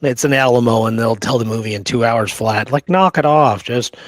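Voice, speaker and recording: A man's voice: mid-range voice, moderate pace, dry recording. A man co-hosting a casual chat about films, giving his opinions informally. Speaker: co-host.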